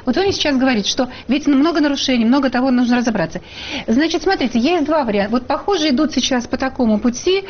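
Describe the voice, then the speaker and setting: A woman's voice: a little high, dull audio. A woman journalist hosting a heated studio debate, pressing and interrupting her guests. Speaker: talk show host and journalist.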